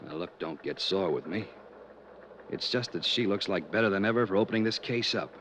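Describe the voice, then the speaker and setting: An American actor playing a gruff, blunt, businesslike police lieutenant in 1950s radio drama style. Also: male voice, low-pitched, expressive, a little fast, fairly bright tone, low-quality recording. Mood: insistent. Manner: blunt, matter-of-fact. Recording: old radio recording with faint noise.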